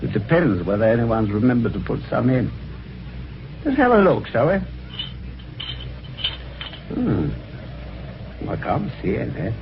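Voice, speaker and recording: A genial, wry British gentleman tells his adventure in a vintage radio mystery. His pitch swings very widely as he chats in a jaunty, old-fashioned way. The recording is dull, with faint noise. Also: very animated, unhurried, creaky, pauses often. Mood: breezy, helpful. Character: genial, chatty, helpful husband telling his adventure. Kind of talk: 1950s radio drama acting and first-person narration.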